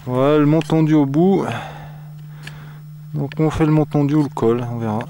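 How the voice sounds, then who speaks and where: A man's voice: on the high side, moderate pace, a little hiss or hum. A man casually narrating a ski touring trip outdoors on a snowy glacier, pointing out the route as he goes. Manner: relaxed, points things out with "voilà" and "là".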